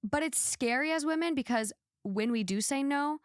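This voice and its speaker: A woman's voice: high-pitched, normal speed, dry recording, clean studio sound. A woman hosting a podcast, chatting casually and giving her opinions in an emphatic, outspoken way. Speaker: podcast host.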